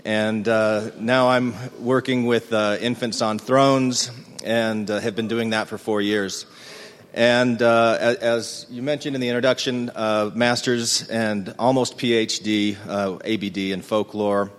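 A man's voice: fairly low pitch, fairly even intonation, a little slow, big-room sound. A man giving a casual conference talk, rambling with hesitant fillers and restarts in a self-deprecating way. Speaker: conference presenter. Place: conference room.

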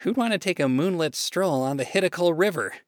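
A defiant, sardonic woman from a comic storybook, as a man puts her on. She complains casually and scornfully, with a raised pitch and animated swoops. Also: brisk, no room sound, studio quality, lightly acted. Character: defiant, critical, sardonic first woman, Lilith. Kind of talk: short story read aloud.

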